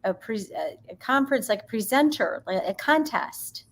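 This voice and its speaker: A woman's voice: fairly high pitch, very animated, moderate pace, creaky. A woman guest chatting casually with a group on a video call. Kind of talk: casual chat.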